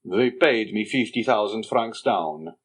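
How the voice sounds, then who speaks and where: A man voicing an aging schemer who poses as a dignified father, reading in a measured, stately way. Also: on the low side, lively intonation, breathy edge. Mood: guarded. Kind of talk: audiobook character reading.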